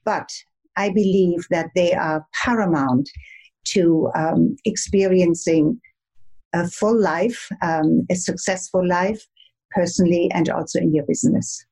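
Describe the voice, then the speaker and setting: A woman with a light German accent and a slightly low voice speaks as an interview guest. She is measured and poised, and she pauses on fillers as she goes. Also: dark tone, husky, low-quality recording. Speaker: interview guest, etiquette expert. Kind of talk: conversational interview.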